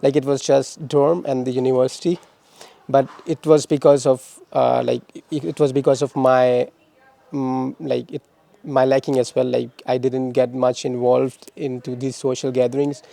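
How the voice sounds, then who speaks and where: A young man from Pakistan talks through interview questions in a halting way, often restarting and repeating words. His voice is mellow and dark, and his pitch stays flat and even. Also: gravelly. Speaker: interviewee, former master's student. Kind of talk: casual interview answers.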